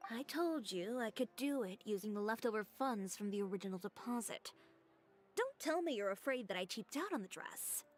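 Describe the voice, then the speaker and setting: An actress voicing a poised, businesslike fashion designer in a video game, composed and matter-of-fact. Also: female voice, mid-range voice, animated, moderate pace, natural voice. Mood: confident.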